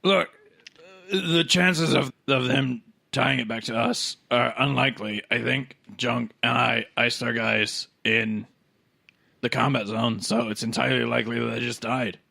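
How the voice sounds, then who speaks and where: A man's voice: mid-range voice, steady tone, measured pace, gravelly, bright, forceful tone, no room sound. A man playing a tabletop game, improvising casual dialogue in character.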